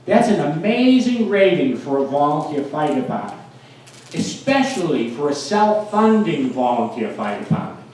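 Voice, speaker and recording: A man's voice: fairly high pitch, big pitch swings, moderate pace, darkish tone. A man in his sixties with a Boston accent gives an informal, emphatic public speech in a plain-spoken way. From United States. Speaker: guest speaker, fire protection engineer and town mayor. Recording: very echoey, distant microphone, faint noise.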